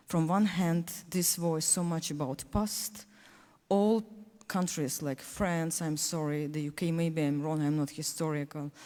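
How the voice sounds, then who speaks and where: Ukrainian woman, low warm voice, reverberant hall. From Ukraine (Donetsk). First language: Russian. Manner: earnest, halting non-native English with many restarts. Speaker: panelist. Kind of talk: panel discussion speech.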